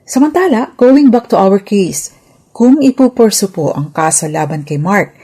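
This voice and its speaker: A woman's voice: mid-range voice, lively intonation, unhurried, warm tone, slightly rough. A woman with a Filipino accent giving a formal, scripted legal explanation as a host, stressing key points as she goes.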